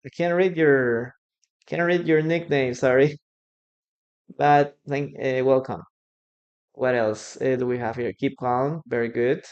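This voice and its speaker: Slow, encouraging English teacher. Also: medium pitch, fairly even intonation, darkish tone, highs cut off. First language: Spanish. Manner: encouraging, repeats phrases; slow, careful delivery. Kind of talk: live online class.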